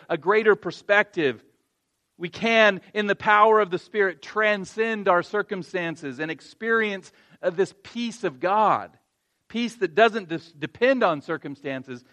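A man's voice: high voice, a bit bright. A pastor giving a conversational sermon, telling a personal story and then urging his congregation with earnest emphasis. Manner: conversational with self-deprecating laughs, rising to earnest emphasis.

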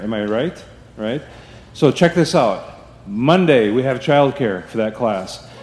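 A man's voice: medium pitch, a little mellow. A man giving church announcements to the congregation in a chatty way, often asking "right?". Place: church sanctuary. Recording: echoey hall, faint ambience.

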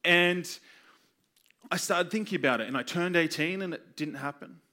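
A man preaching a sermon with personal stories, earnest and emphatic, using repetition and deliberate pauses. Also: high voice, bright, forceful tone. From Australia. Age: 20s. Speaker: youth pastor preaching.

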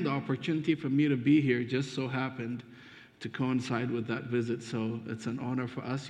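Ethiopian-born preacher in an echoing church. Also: male voice, mid-range voice, darkish tone. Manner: friendly, hesitant delivery with fillers. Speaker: guest preacher. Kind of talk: sermon introduction. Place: church sanctuary. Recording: dull muffled sound with long reverb.

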